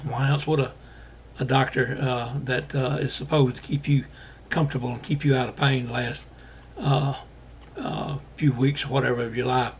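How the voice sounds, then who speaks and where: An older man from Alabama, hosting a casual monologue to viewers, talking in a halting way with many fillers while he is losing his voice. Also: medium pitch, steady tone, a little hiss or hum. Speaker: host.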